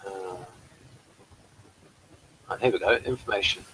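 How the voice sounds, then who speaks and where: A man hosting a casual review chat in a mild, understated and somewhat halting way. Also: low voice, steady tone, pushed and bright, a little hiss or hum, poor audio. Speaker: host of a review show.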